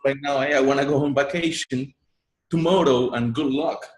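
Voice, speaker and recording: A man's voice: medium pitch, steady tone, moderate pace. Puerto rican guy, spanish first language, earnest, keeps repeating words, echoey room. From Puerto Rico. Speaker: guest, online marketer. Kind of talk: interview storytelling.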